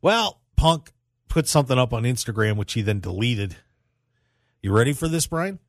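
A man's voice: medium pitch, very animated, moderate pace, no echo. Man hosting talk radio, very dry sound. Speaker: radio show host. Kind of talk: casual pro wrestling talk radio. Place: radio studio.

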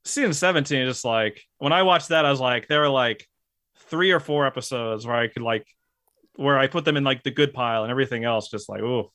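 A man in casual chat who talks in a steady, even way, with lots of likes and fillers. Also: mid-range voice, brisk, no room sound. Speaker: podcast guest, YouTuber. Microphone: close mic, hands bump it.

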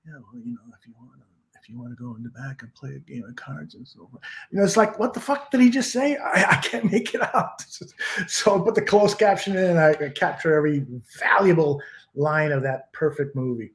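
A man with a high voice hosts a casual podcast chat. He talks loosely, swears freely and laughs easily. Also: animated, brisk. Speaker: podcast host.

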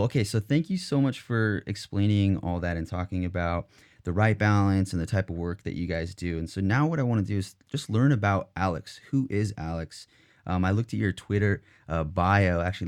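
Casting: low-voiced male host, friendly, rambling interview questions. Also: darkish tone. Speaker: podcast host. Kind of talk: casual interview chat.

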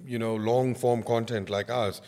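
A man's voice: medium pitch, steady tone, moderate pace, darkish tone. South African man interviewing in echoing reverb. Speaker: podcast host. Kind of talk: conversational interview. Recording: reverberant, hall-like echo.

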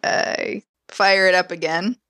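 A woman's voice: fairly low pitch, fairly even intonation, slow, sharp tone, clear, resonant tone. A woman playing a tabletop game, giggling and playful as she describes her moves. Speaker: player.

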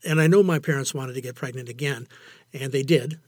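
An older man speaking as an interview guest in a reflective, conversational way, haltingly and often repeating phrases for emphasis. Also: medium pitch, no room sound. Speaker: interview guest, amateur writer.

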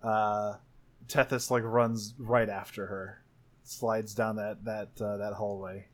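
A man's voice: fairly low pitch, flat delivery, takes it slowly. A man leading a tabletop roleplaying game in casual talk, thinking aloud as he goes. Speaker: player and game leader in an actual play podcast.

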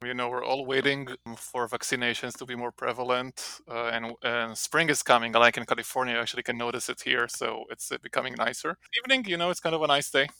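Man, Israeli accent, gravelly, bright, relaxed, restarts often, dry sound. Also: medium pitch, fast, hardly any pauses, no room sound. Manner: relaxed, rambling with many restarts. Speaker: marketing professor, guest. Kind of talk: casual interview talk. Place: home office.

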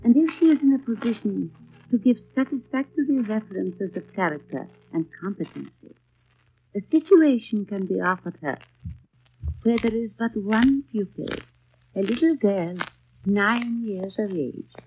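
An actress playing a neat, kindly little old lady in a genteel, measured 1930s radio style. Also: medium pitch, lively intonation, slight background noise, close to an everyday voice. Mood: formal, measured. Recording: tinny, narrow-band vintage 1930s radio recording.

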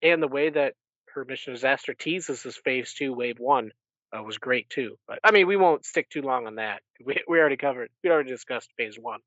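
A man's voice: medium pitch, fairly quick, fairly bright tone, no echo, highs cut off. A man co-hosting a podcast, chatting casually through a book recap in a rambling way and often correcting himself. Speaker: podcast co-host.

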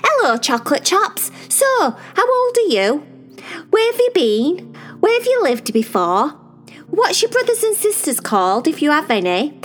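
A woman voicing an excitable, chatty young girl who gushes and fires off question after question. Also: high-pitched, voice pushed up. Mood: gushing. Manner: gushing, rapid-fire questions, squealing.

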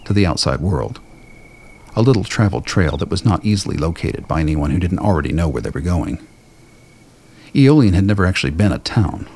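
A man's voice: sits low, slight background noise. A man reading scripted narration for a radio drama.

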